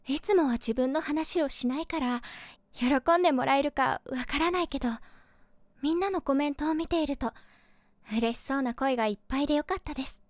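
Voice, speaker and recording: A woman acts out a sociable streamer in her late twenties for an audio drama. The voice is high and recorded close and dry. She speaks politely, as if addressing followers on a livestream. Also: expressive, moderate pace, no room sound. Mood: cheerful, polite. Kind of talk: situation voice drama.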